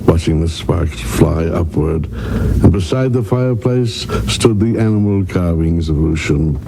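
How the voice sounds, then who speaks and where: A man's voice: low voice, a little slow, gravelly. A man narrating a radio drama in a scripted storybook style. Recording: noisy radio broadcast recording.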